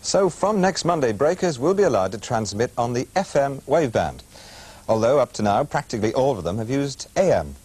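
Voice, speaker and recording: A man's voice: medium pitch, very animated, brisk, a little mellow, slightly rough, no echo. British male TV news presenter. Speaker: studio presenter. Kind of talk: scripted TV news presenting with wry asides.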